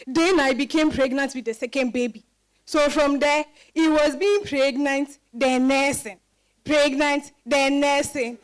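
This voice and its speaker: A woman performing a reflective wife who recounts a disappointing marriage in a dramatized reading, with expressive storytelling emphasis. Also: high-pitched, measured pace. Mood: weary, rueful. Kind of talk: dramatized first-person literary monologue.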